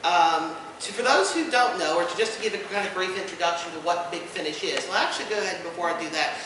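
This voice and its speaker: High-voiced man in an echoey room. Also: quick pace. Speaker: panel host and podcast co-host. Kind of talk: informal convention panel presentation. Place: indoor convention panel room. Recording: echoey room, distant mic, background noise.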